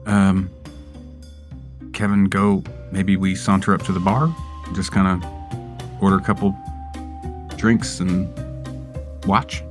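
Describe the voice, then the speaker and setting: A man's voice: low voice, steady tone, slow pace, pauses often, faint music behind. A man playing a tabletop role-playing game, chatting casually in slangy, sweary language.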